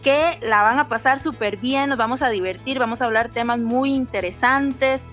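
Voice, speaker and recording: A woman's voice: on the high side, moderate pace, a bit bright, soft background music. A Costa Rican woman co-hosting a podcast, talking earnestly and casually with many fillers. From Costa Rica. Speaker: podcast co-host.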